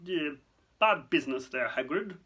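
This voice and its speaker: A man's voice: sits high, lively intonation, brisk, pushed and bright, pitched above the performer's own voice, full character voice. A man voicing a portly, anxious, self-important government minister in a clipped, flustered way for a storybook. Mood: brisk unease.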